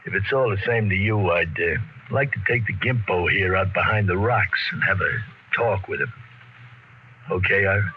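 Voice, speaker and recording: An actor playing a rough, cynical crewman with slangy, laconic talk, in 1950s radio drama style. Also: low voice, moderate pace, lightly acted. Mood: quietly menacing. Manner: slangy, laconic, hard-boiled. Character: rough, cynical tough-guy expedition crewman, menacing and slangy. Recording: dull, noisy vintage radio recording.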